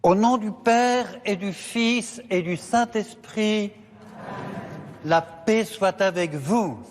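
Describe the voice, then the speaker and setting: A man celebrating mass in a chapel, speaking slowly and solemnly in a liturgical address. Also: sits high. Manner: slow, solemn, measured. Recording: long reverb in a chapel.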